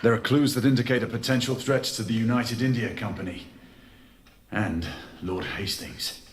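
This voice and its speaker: An actor playing a stoic, caring Victorian knight in a video game, with a measured, formal delivery. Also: male voice, on the low side, fairly even intonation, a little hiss or hum, close to an everyday voice. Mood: businesslike, concerned. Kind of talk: dramatic game cutscene acting.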